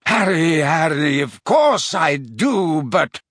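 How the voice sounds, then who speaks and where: A man doing a weepy, tipsy old potions master, pitched well above the reader's own voice and swinging widely in pitch. He frets and hiccups through his words, his voice thin and breathy. An audiobook character voice. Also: very animated, takes it slowly, a bit bright, dry recording, studio quality, full character voice. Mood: anxious. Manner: emotional, hiccuping, fretful.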